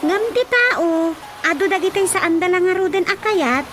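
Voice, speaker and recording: An actress with an Ilocano accent playing a spirited, sharp-tongued young village woman in a radio drama comedy, with music behind her. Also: very high-pitched, moderate pace. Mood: lecturing. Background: background music.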